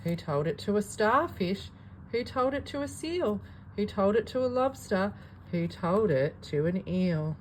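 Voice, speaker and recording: Expressive woman reading a bedtime story, noisy recording. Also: medium pitch, measured pace. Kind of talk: expressive children's storybook read-aloud.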